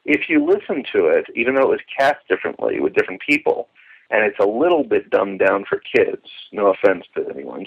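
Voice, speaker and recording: A man's voice: on the low side, breathy edge. A man speaking as an interview guest, explaining at length in an earnest, wordy, emphatic way over a phone-like line. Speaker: podcast guest, radio drama enthusiast. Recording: dull telephone-like line.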